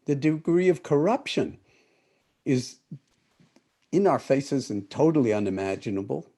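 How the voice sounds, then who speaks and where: A man's voice: mid-range voice, sing-song, a little slow, warm tone. An older man from the United States leading an online meditation group on a video call, speaking thoughtfully and correcting himself as he goes. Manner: thoughtful, rambling with self-corrections. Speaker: meditation teacher. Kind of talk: live-streamed talk to an online group.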